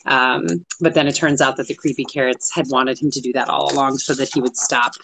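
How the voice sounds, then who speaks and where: A woman's voice: very deep voice, little pitch movement, a little fast, vocal fry, a bit bright, a little hiss or hum, low-quality recording. A woman in her forties giving a casual, explanatory report over a phone video call. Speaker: participant in a read-aloud discussion group. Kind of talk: casual spoken report on an activity. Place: small room at home, on a video call.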